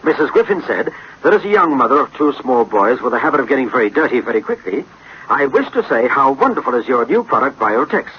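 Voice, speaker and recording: A man with a rough, husky voice delivering courteous, formal 1960s radio advertising. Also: mid-range voice, very animated, fast. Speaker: sponsor presenter. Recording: dull vintage radio recording.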